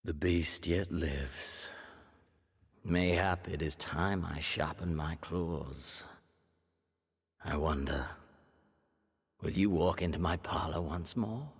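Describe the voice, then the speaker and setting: An actor playing a coldly predatory imperial prince in a video game cutscene, haughty and measured in his menace. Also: low-pitched, breathy edge, heavily acted. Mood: brooding menace. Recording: long reverb of a large hall.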